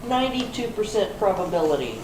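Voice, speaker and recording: A skeptical, formal policewoman running an interrogation, read by a woman on stage. Her mid-pitched voice is clipped and procedural, picked up from a distance in an echoey room. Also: big pitch swings, fairly quick, natural voice. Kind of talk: amateur readers theater reading. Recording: echoey hall, distant mic, faint noise.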